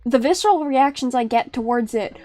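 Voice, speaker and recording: A woman's voice: expressive, a little fast, mellow, no room sound. A young woman streamer chatting casually on a live stream, rambling and restarting her sentences, often breaking into laughter. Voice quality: high-pitched.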